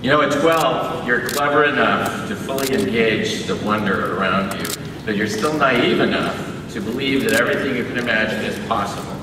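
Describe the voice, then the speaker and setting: A man's voice: fairly low pitch, moderate pace, few pauses. A man in his sixties from Southern California giving an acceptance speech at a podium, with self-deprecating humor. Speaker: award recipient. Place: large convention hall stage. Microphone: podium mic. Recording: roomy hall from far off, crowd noise.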